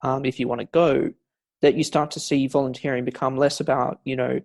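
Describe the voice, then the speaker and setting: A male historian talks through his subject in a relaxed podcast interview, leaning on fillers and restarting his sentences. His voice has a gravelly edge, sitting at a middle pitch for a man. The recording is close and dry, with no room sound. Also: brisk, no echo. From not from Scotland, Scottish family. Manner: many fillers and restarts, explanatory. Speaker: historian guest. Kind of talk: conversational academic interview.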